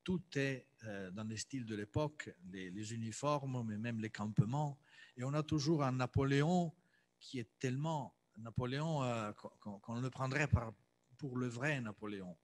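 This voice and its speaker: Hesitant man moderating, medium pitch. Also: moderate pace, a little hiss or hum. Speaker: event host, moderator. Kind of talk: unscripted moderating at a cultural talk. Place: salon with piano, indoors.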